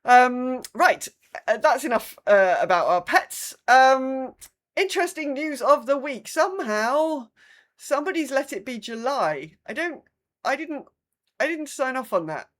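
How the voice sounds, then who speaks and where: A woman's voice: high-pitched, animated, unhurried. A woman with a British accent chatting casually as a podcast co-host, with a wry, dry sense of humour. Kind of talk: casual chat.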